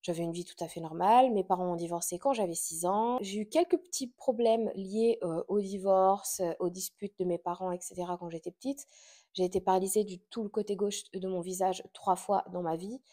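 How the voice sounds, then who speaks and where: A woman's voice: medium pitch, brisk, mellow. A woman in her late twenties, born and raised in Portugal, hosting a casual podcast and talking chattily and confidingly, with frequent fillers and little laughs. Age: late 20s. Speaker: podcast host. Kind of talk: casual personal chat to camera.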